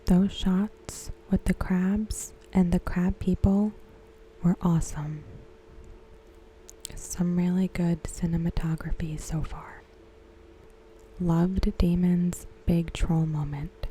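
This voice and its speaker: A woman giving ASMR commentary in a hushed, gentle, breathy, whispery voice. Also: on the low side, fairly even intonation, a little hiss or hum, poor audio. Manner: hushed, gentle, unhurried delivery. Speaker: ASMR content creator reviewing a TV episode. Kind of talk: ASMR soft-spoken commentary.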